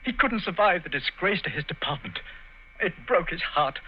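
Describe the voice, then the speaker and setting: A man's voice: sits high, expressive, normal speed, slight background noise. A man playing a grief-stricken colonel in a 1940s radio drama, shaken and trailing off. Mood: grieving. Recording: dull, lo-fi old radio recording.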